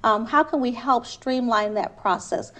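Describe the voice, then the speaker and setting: A woman's voice: fairly high pitch, unhurried, a bit bright. A woman from Trinidad, a city council candidate, answering interview questions in an earnest, persuasive way with frequent restarts.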